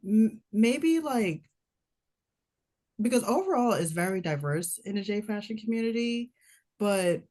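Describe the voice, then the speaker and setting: Lively woman telling a funny story. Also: mid-range voice. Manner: animated storyteller, laughing often, mimics other voices. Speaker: guest. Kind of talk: casual chat.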